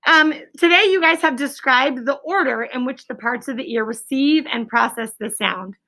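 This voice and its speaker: Her voice sits high for a woman and has a noticeable fry to it. She speaks as a schoolteacher running an interactive lesson, explaining simply and prompting her pupils with questions in an encouraging manner. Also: moderate pace, sharp tone, low-quality recording. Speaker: schoolteacher leading a class.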